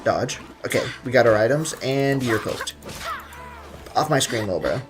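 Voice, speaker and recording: A man's voice: medium pitch, expressive, normal speed, soft background music. A man live streaming a video game, chatting casually with viewers in a slangy, joking, rambling way.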